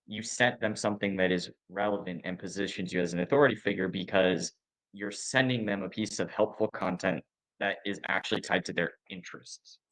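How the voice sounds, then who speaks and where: A man with a very low voice speaks as a podcast host. He is conversational and measured, explaining and summing up ideas as he goes. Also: steady tone, a bit bright, poor audio.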